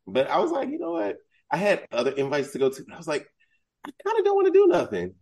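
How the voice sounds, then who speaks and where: A man's voice: sits high, huge pitch swings, quick pace, vocal fry, darkish tone. A man co-hosting a podcast in laid-back, playful casual chat. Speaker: podcast co-host.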